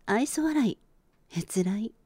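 Radio drama narrator: actress as weary, reflective working woman, higher register, lively intonation, soft delivery. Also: slow, breathy edge, full character voice. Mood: weary, downcast. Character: weary office woman reminiscing wistfully about her schooldays.

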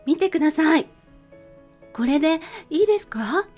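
Radio drama schoolgirl played by a woman: high, animated pitch, polite, hesitant, eager for approval. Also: moderate pace, soft background music. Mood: hopeful, nervous. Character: earnest, timid teenage schoolgirl eager for teacher's approval.

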